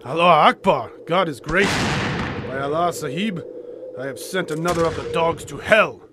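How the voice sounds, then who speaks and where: Man acting a devout Afghan warrior, high-pitched over noisy ambience. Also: normal speed, lightly acted. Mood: fierce exultation. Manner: formal, archaic, florid phrasing. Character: devout, fierce Afghan fighter, loyal sidekick to an American adventurer. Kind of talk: audio drama acting.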